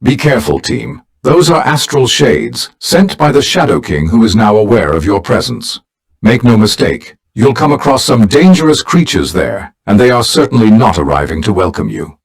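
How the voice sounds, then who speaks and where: A man's voice: medium pitch, sing-song, creaky, breathy edge, exaggerated voice. A man acting a dignified, formal older mentor who leads a team of heroes in a video game. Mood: cautioning. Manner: formal, measured, grave.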